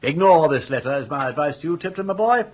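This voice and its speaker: An actor playing a jaunty, scheming older English gentleman in a radio comedy, garrulous and grandly worded. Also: a little high, animated, fast. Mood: persuasive, emphatic. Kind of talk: radio comedy drama acting.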